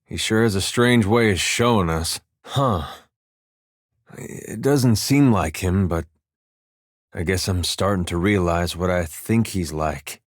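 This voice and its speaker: An actor playing an easygoing, wry farmer turned war veteran adventurer in a video game, with a folksy, drawling, dryly humorous delivery. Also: male voice, sits low, slow pace, no room sound, studio quality. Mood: reflective, troubled. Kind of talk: video game character dialogue.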